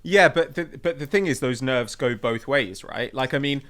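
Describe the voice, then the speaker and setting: A man's voice: medium pitch, moderate pace, a bit bright. A man hosting a casual football podcast, talking in a chatty, conversational way.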